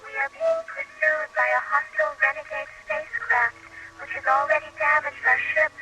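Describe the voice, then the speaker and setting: An extremely high-pitched, frightened alien physician from a radio space drama. The voice swoops widely as it pleads in formal, urgent phrases, with clear music underneath. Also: very animated, normal speed, exaggerated voice, low-quality recording. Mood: urgent pleading.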